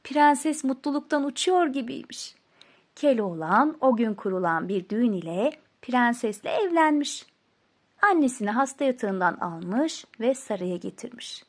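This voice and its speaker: High-voiced woman telling a fairy tale. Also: animated, normal speed. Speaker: storyteller. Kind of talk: scripted fairy-tale narration.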